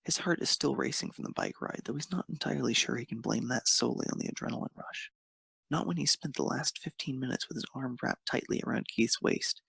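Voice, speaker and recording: A man narrates romantic fan fiction with expression. His mid-pitched voice is strongly creaky and husky. The sound is dry, with no room around it. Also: a bit bright, no echo.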